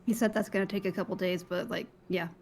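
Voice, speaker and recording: A woman's voice: mid-range voice, fairly even intonation, fast, a little mellow, slight background noise, lightly acted. A woman playing a young food worker in casual improvised game role-play, speaking in a plain, matter-of-fact way. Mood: casual eager.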